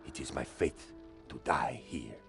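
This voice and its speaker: An actor playing a proud, resigned war commander in a fantasy game, mortally wounded and gasping out halting words. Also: male voice, very deep voice, gravelly, faint music behind, heavily acted. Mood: dying, resigned. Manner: laboured, halting. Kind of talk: fantasy video game voice acting.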